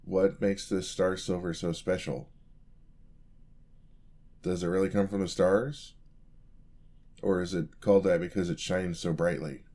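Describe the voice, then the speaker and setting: A man's voice: low-pitched, steady tone, measured pace, pauses often, warm tone. A man playing an eager, charming young apprentice paladin in a fantasy ASMR roleplay, talking to the listener in a polite, easily flustered way. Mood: curious, polite. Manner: polite, flustered, hesitant, chatty.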